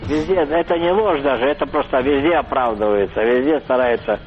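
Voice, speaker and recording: An older man, a photographer, answering interview questions haltingly, often restarting and repeating words. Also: medium pitch, soft background music. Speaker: photographer interviewed about his exhibition. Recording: muffled phone-like line.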